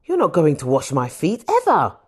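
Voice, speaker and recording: A woman's voice: low voice, huge pitch swings, normal speed, slightly rough, heavily acted. A woman voicing an eager, insistent male disciple in a Bible audiobook, pleading emphatically with his master.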